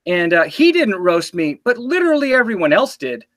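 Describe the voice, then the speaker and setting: A man's voice: high voice, sing-song, moderate pace, a bit bright, dry recording, studio quality. A man in his late thirties hosting a casual livestream chat, joking and self-deprecating. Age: 30s. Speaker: livestream show host.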